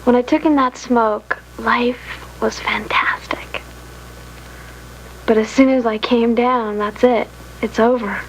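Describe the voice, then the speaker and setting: A woman's voice: a little high, fairly even intonation, slow. A woman playing a subdued young former crack user giving testimony in an educational film. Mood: wistful. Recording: faintly noisy old film soundtrack.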